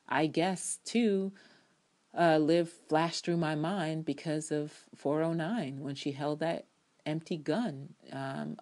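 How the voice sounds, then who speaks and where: Low-voiced woman reading her review dramatically. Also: a little mellow. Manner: emphatic, sassy, blunt with profanity and slang.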